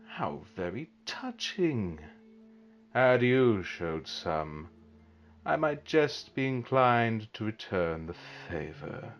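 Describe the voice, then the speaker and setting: A grandiose dark sorcerer in a fantasy audio drama, taking his time over every threat. His mocking voice swings dramatically up and down, slightly muffled, with music underneath. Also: on the low side, big pitch swings, full character voice. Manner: theatrical, drawn-out, sneering delivery. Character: menacing, mocking, grandiose male evil sorcerer. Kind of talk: fan audio drama villain acting. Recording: dull, muffled recording with music behind.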